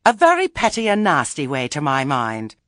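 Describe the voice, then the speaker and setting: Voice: mid-range voice, wildly swooping pitch, moderate pace, fairly bright tone, no room sound, pitched above the performer's own voice. A genteel, fussy lady witch from a storybook, put on by an audiobook narrator. She trills in a raised, swooping voice, over-polite and thoroughly condescending. The recording is dry. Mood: indignant.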